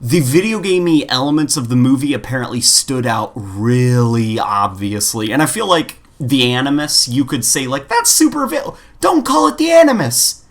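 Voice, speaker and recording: Guy podcast cohost just chatting, really animated, voice swings up and down a lot, lots of likes. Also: mid-range voice, normal speed, hardly any pauses. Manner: informal, filler-heavy, animated. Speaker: podcast co-host. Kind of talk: casual chat.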